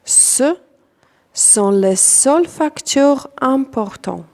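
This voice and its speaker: A woman with a non-native accent in French leads a guided yoga nidra meditation as instructor, calm and deliberate, in short phrases with long pauses. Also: sits high.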